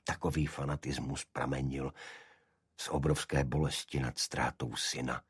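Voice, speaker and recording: A man's voice: a bass voice, little pitch movement, husky, dry recording, studio quality. A man reading a detective story as a scripted, dramatic audiobook narration.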